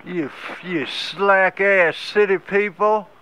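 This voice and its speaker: A man playing a fuming hillbilly who stammers over his words and slings folksy insults. He talks slowly in a high, bright voice, with faint noise behind him. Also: fairly even intonation, full character voice, low-quality recording. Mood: stammering rant. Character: angry stammering rural Georgia hillbilly man. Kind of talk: prank phone call.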